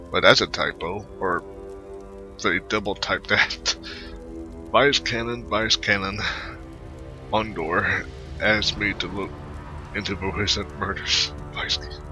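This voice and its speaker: A man giving casual, rambling commentary on his video game playthrough, halting and mumbly, often repeating himself and trailing off. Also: on the low side, pushed and bright. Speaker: gamer narrating his playthrough. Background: game music.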